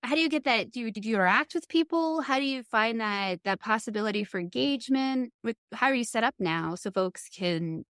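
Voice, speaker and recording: A woman's voice: a little high, animated, brisk, few pauses, fairly bright tone, no room sound, clean studio sound. A woman hosting a casual interview, earnest and thinking aloud as she speaks. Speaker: podcast interviewer and host.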